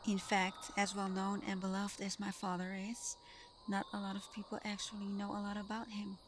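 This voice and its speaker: A woman playing Santa's gentle, bashful adopted daughter in an ASMR roleplay, soft-spoken, hesitant and apologetic. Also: medium pitch, monotone, normal speed, slight background noise, close to an everyday voice. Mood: gently explaining. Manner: soft-spoken, hesitant, breaking into little giggles.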